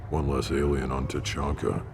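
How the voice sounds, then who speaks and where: Gruff alien brute, bottomless gravel voice, blunt and dismissive, game dialogue over clear background rumble. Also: male voice, little pitch movement, moderate pace. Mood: gruff, matter-of-fact. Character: gruff, blunt alien krogan clan scout leader, distrustful of outsiders. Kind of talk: video game character dialogue.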